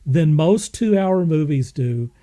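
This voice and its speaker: A man hosting a podcast, reviewing things in an enthusiastic, casual way, emphatic and effusive with halting repetition. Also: fairly high pitch, expressive, warm tone. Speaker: podcast host.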